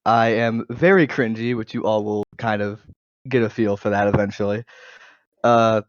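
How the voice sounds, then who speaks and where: A young American man with a fairly low voice chats casually as a podcast co-host. The recording is dry and somewhat dull, from a cheap gaming mic. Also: steady tone, normal speed, dry recording. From United States. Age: 20s. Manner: occasional stutter. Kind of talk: casual chat. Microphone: low-quality gaming microphone.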